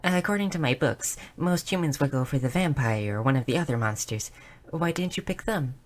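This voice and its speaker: Casting: a woman as a bubbly, bookish goblin girl for audio roleplay, low-pitched, inquisitive, with a slight nervous stammer. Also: lively intonation, fairly quick. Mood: curious, studious. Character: shy, bubbly, bookish young goblin girl, eager and curious. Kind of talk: scripted audio roleplay addressed to the listener.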